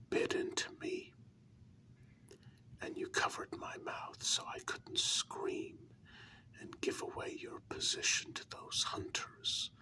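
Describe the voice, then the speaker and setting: Someone acting out a gentle candid human confiding in a vampire lover, all breathy whisper, real slow, asmr roleplay. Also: lightly acted. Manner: slow, hushed, intimate, with pauses. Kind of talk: whispered ASMR role-play.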